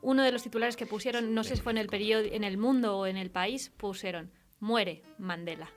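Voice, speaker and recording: A woman's voice: medium pitch, brisk, soft background music. A woman with an accent from Spain, interviewing a guest casually as a radio host. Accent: Spanish from Spain.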